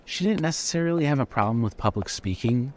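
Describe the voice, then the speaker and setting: A man narrates scripted fiction in a mid-pitched voice. There is faint noise and hum on the recording. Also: animated, fast talker.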